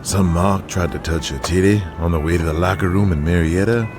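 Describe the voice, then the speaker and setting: A man voicing a huge, laid-back wrestler in an audio drama, with a low, relaxed drawl. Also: a little fast, music in the background, lightly acted. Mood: easygoing.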